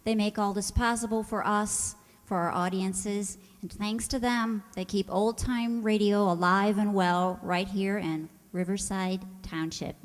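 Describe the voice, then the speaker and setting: A woman's voice: medium pitch, fairly even intonation, unhurried. Woman speaking in a reverberant hall. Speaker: radio players' member giving thanks from the stage. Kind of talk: live thank-you address to an audience. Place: large indoor township auditorium, on stage. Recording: echoey auditorium with long reverb.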